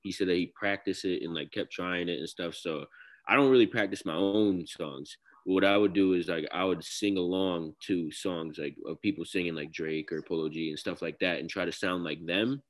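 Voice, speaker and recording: Young man with a deep baritone voice. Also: moderate pace. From Connecticut, US. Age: young adult. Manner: loose, slangy, heavy use of "like" fillers. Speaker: guest rapper. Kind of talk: casual interview chat.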